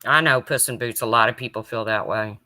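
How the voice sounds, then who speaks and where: A woman with a low, raspy voice talks on her own live stream. Her tone is bright and forward-placed despite the rasp. She keeps it chatty and loose, answering viewers' comments one by one. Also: brisk. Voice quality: deep raspy voice. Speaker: true crime livestreamer. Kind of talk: casual live stream chat.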